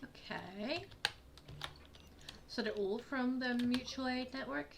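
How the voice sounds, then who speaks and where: A woman's voice: a little high, slow. A woman giving casual commentary on a game and reading its text aloud. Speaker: video game player. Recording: clear background noise, game ambience.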